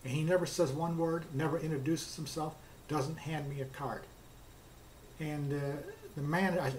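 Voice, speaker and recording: A man's voice: fairly high pitch, a little hiss or hum. A man casually telling a personal anecdote in a plainspoken way, recounting back-and-forth dialogue as he goes. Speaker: car customer telling his experience.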